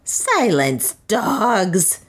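A woman reader voicing a fanatical old male high priest who screams his commands. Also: wildly swooping pitch, extremely slow, husky, full character voice. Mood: scornful. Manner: frenzied, screaming.

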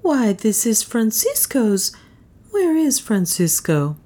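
A woman's voice: fairly high pitch, wildly swooping pitch, warm tone, pitched above the performer's own voice, heavily acted. A woman reading an audiobook as a distraught, passionate young woman who wails and accuses. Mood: anxious. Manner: wailing and indignant, drawn-out cries. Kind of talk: dramatised audiobook dialogue.

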